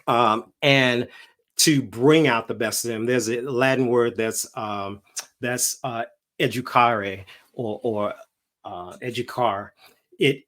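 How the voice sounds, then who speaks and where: A man's voice: medium pitch, a bit bright. Man hosting a casual conversation. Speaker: host.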